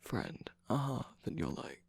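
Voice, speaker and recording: A performer voicing a flirtatious, teasing male villain's minion in a romantic ASMR roleplay, husky and breathy with a coy, halting delivery that often drops into whispers. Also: natural voice. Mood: teasing, persuasive.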